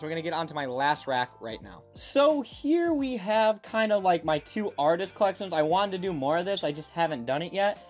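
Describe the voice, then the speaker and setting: A young adult man giving a casual, chatty showcase to viewers with an enthusiastic, effusive manner. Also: on the high side. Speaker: manga collection YouTuber.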